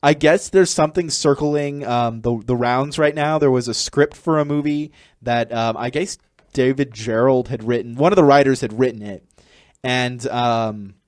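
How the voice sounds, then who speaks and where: A man co-hosting a podcast in a casual, halting chat, often correcting himself. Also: medium pitch, no echo, clean studio sound. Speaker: podcast co-host.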